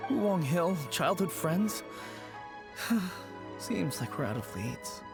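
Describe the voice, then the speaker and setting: A man's voice: high voice, sing-song, very slow, gravelly, over loud music, exaggerated voice. An actor playing an anxious, mournful man in an anime video game, speaking in a hesitant, troubled way.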